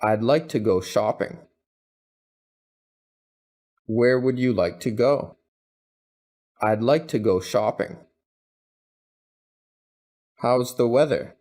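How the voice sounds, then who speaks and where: A man reading English practice questions and answers, each said twice in an even, deliberate way. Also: medium pitch. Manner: even, deliberate, carefully spaced delivery. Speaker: English teacher. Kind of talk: slow scripted phrase drills, each said twice.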